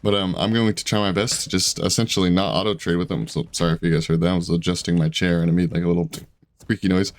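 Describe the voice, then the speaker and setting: A man in his twenties giving casual, chatty gameplay commentary from his room, self-deprecating and quick to laugh. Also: low voice, steady tone. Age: 20s. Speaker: gaming streamer. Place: home room at a desk.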